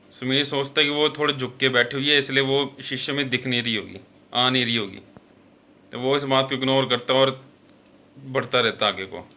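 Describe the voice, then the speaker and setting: Bright, forceful male horror storyteller. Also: mid-range voice. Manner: conversational, repetitive filler-laden phrasing with self-corrections. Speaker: host and storyteller of a horror show. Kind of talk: informal horror storytelling narration.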